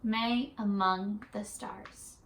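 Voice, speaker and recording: A woman teacher leading a read-aloud lesson for young children, in an animated, questioning, sing-song way. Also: medium pitch, drawn-out words. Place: classroom-style room. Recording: echoey room, distant mic.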